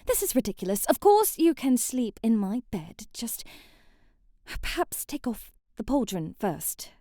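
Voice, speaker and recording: A woman's voice: a little high, big pitch swings, a little slow, warm tone, no echo, clean studio sound. A woman playing a sheltered young princess in an intimate ASMR roleplay, with prim old-fashioned diction, a coy, hesitant manner and a haughty streak. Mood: flustered, shy. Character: sheltered young princess, flustered, haughty, bookish and secretly curious.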